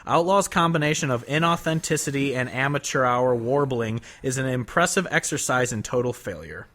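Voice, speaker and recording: A man's voice: mid-range voice, a little fast, a bit bright. A man co-hosting a casual podcast, reading from his notes and laughing often. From Northern US. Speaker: podcast co-host. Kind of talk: casual chat.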